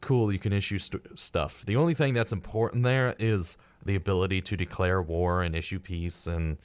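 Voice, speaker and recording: Low-voiced man co-hosting a casual podcast. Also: fairly even intonation, normal speed, smooth, no echo. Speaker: podcast co-host. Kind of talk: casual discussion, reading out researched facts.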